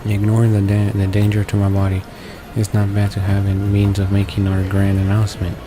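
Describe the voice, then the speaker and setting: A man with a low, fairly even voice reads game text aloud in a let's play. He trips over words here and there, and music plays clearly behind him. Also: brisk. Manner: stumbles over words while reading. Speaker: let's play host reading a visual novel aloud.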